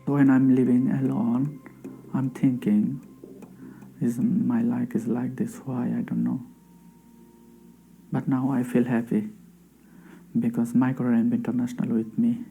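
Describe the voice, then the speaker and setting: Bangladeshi man, dark halting English. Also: medium pitch, flat delivery, vocal fry, low-quality recording. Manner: halting, simple English with pauses. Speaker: asylum seeker interviewee. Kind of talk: documentary testimony. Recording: faint music bed underneath.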